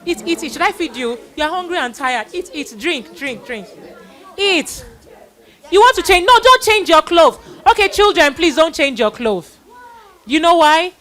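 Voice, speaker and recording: A woman's voice: extremely high pitch, lively intonation, a little slow, a bit bright. A middle-aged woman hosting a live children's Bible event, introducing and addressing people in an exhorting manner with repeated phrases.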